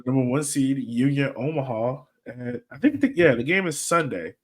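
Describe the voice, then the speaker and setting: Average pitched guy hosting a fan podcast, super casual, rambly, slangy chat. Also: animated, normal speed. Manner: rambling, colloquial, conversational. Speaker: podcast host.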